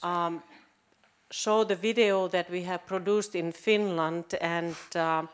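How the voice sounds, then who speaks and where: Female panel moderator with a lowish voice: polite, slightly halting. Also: steady tone.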